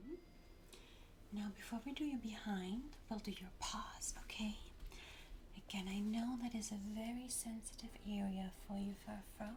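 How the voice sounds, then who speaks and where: A woman doing an ASMR roleplay as a pet groomer, speaking gently and encouragingly in a soothing, hushed whisper close to the microphone. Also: medium pitch, moderate pace, slight background noise.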